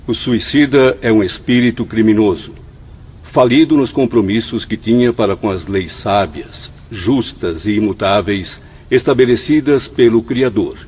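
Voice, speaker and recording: A grave professor in a radio drama. His voice is fairly low and husky, with formal, sententious delivery on a slightly dull recording. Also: a little mellow. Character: grave, courteous male professor in the spirit world. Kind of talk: solemn radio drama recitation.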